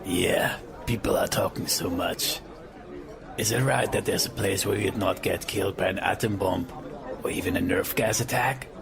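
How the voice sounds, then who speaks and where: An actor playing a casual, inquisitive, slightly anxious drinking companion in an audio drama. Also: male voice, deep voice, moderate pace, creaky, over music. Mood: curious, uneasy. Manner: casual, questioning. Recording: crowded room noise behind.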